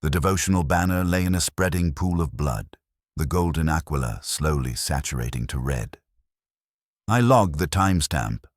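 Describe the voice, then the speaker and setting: A man reading a scripted dramatic story in the first person, solemn and measured, with clipped phrasing. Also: extremely low pitch, expressive, dry recording, studio quality. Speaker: narrator.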